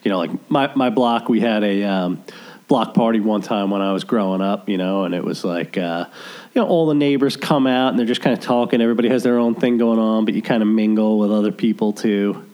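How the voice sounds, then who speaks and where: Male radio host, lower voice, loose rambling chat. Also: fairly even intonation, fairly quick, a little mellow. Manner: rambling, lots of fillers and restarts. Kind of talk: casual chat.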